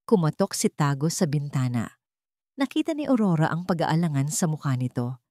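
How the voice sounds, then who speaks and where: A woman narrating a romantic drama in a calm, measured storytelling manner. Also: low voice, dry recording, studio quality.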